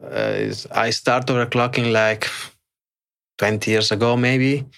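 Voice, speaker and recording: A middle-aged man with an Italian accent, answering interview questions in a halting way with many fillers and restarts. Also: medium pitch, level tone, creaky, a bit bright, clean tone. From Italy. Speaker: guest, extreme overclocker. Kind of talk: casual interview.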